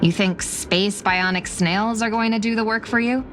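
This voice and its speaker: An actress playing a strict, impatient office supervisor in a video game, curt and scolding. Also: female voice, medium pitch, steady tone, moderate pace, over music, close to an everyday voice.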